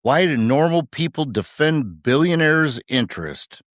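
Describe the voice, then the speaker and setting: A man's voice: fairly high pitch, animated, measured pace, dry recording, studio quality. A man co-hosting a podcast, delivering scripted commentary in short, punchy rhetorical phrases with wry humour. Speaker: podcast co-host.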